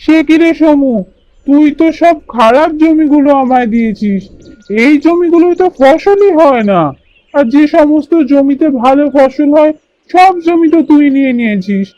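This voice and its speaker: A man's voice: very high-pitched, expressive, normal speed, mellow, muffled recording. A man voicing a simple, honest elder brother bird in a children's cartoon, earnest and plaintive. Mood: protesting.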